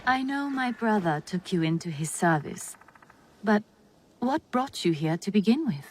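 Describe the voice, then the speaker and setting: A woman's voice: medium pitch, expressive, measured pace, darkish tone. An actress playing a playful, curious young court lady in a video game, with a teasing lilt. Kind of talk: dramatic video game acting.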